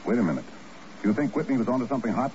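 An actor playing a skeptical, plain-spoken intelligence chief with a brisk manner, in 1950s radio drama style. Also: male voice, medium pitch, expressive, darkish tone, lightly acted. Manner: brisk, doubtful. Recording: muffled, noisy old radio recording.

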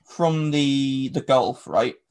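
A man's voice: mid-range voice, slow, a little mellow, clear, resonant tone. An English man hosting a podcast, rambling through casual chat with lots of filler words. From England. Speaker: podcast host.

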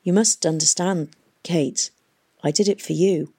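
A woman's voice: lowish voice, a little slow, mellow, breathy edge. A woman reading the part of a guilt-ridden middle-aged father who confesses to murder, pleading and miserable. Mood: confessing.

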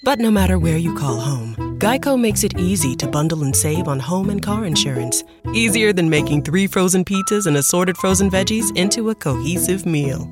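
A man narrates a scripted radio advertisement over clear background music. His delivery is conversational and wry. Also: sits high, lively intonation, normal speed.

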